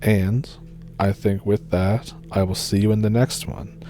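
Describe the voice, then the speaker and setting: A young man from New Brunswick with a Maritime Canadian accent, calmly reading encounter stories as a channel host. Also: fairly low pitch, a little slow, faint music behind.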